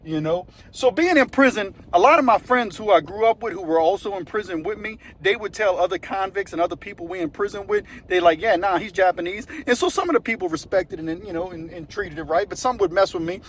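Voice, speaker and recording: A middle-aged man speaks in a fairly high voice. He tells his story casually and loosely, circling back with conversational asides as he goes. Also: expressive, fairly quick, few pauses, fairly bright tone, slight background noise. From West Bank of New Orleans, Louisiana. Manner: rambling, conversational, fond of "you know what I mean". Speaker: former prisoner telling his story.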